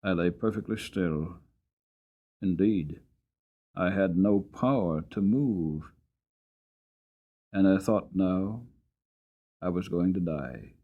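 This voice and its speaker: A man voicing a weary, long-suffering horse who tells his life story in earnest, deliberate, dramatic storybook narration. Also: low-pitched, narrow, tinny audio. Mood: weary, strained.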